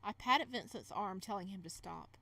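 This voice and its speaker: A woman's voice: medium pitch, a little fast, vocal fry, bright, forceful tone. A woman reading as the flustered young male protagonist of a romance visual novel, in dramatic first-person narration. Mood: tense.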